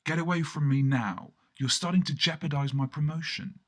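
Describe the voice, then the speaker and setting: A man's voice: fairly high pitch, normal speed, pitched above the performer's own voice, natural voice. A man voicing a scornful, impatient woman friend in a comic radio story. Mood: annoyed.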